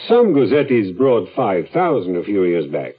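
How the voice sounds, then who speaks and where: An actor playing a matter-of-fact art dealer in a 1970s radio drama, unhurried and knowing. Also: male voice, fairly low pitch, sing-song, slightly rough. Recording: muffled phone-like 1970s radio recording.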